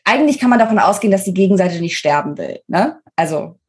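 A woman's voice: mid-range voice, expressive, fast. A woman, explaining things as an interview guest in an emphatic, colloquial and articulate way. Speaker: guest author interviewed about her book. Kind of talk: conversational podcast interview, explanatory.

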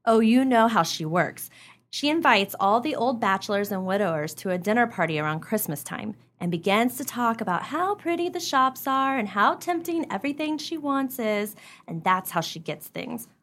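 A woman's voice: on the high side, very animated, normal speed, fairly bright tone. A woman playing a gossipy, drily witty small-town wife in a comedic radio play, speaking in a precise, theatrical way.

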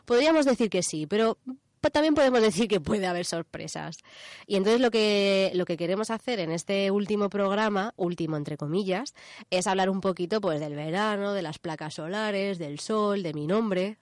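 A woman's voice: mid-range voice, moderate pace, no room sound. Dry, close-sounding woman in her thirties from radio. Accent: Spanish from Spain. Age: 30s. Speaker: radio show host. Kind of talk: casual chat.

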